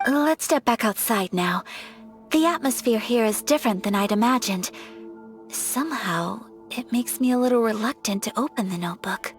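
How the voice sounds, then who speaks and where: A woman voicing a composed, polite young noblewoman who speaks softly and with measured care, in video game dialogue. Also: a little high, animated, close to an everyday voice. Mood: thoughtful. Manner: soft-spoken, measured and courteous. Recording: game audio with faint music.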